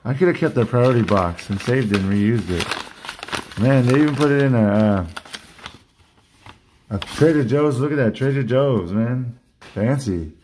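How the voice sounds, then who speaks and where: A man hosting a casual card unboxing vlog, chatty and rambling, slightly hoarse from losing his voice. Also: medium pitch, normal speed, pauses often, darkish tone, a little hiss or hum. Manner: rambling, restarts sentences, chatty. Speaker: card collecting channel host. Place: indoor collection room at a desk.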